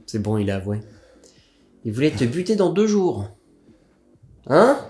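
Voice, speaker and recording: An actor playing a brutal, mocking criminal henchman who talks with loud, casual cruelty in an audio drama. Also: mid-range voice, sing-song, a little slow. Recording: echoey room, faint noise.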